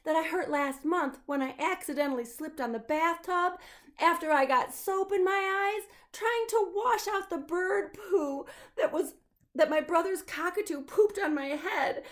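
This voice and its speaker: A woman's voice: extremely high pitch, voice pushed up. A woman voicing an upset little storybook boy, complaining in a whiny, run-on way. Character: upset, put-upon little boy, easily scared.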